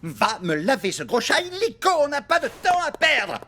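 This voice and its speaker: A woman's voice: high-pitched, big pitch swings, normal speed, fairly bright tone, faint music behind. A woman voicing a bossy, impatient director in a cartoon, brusque and dramatic. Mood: exasperated. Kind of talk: animated cartoon dubbing.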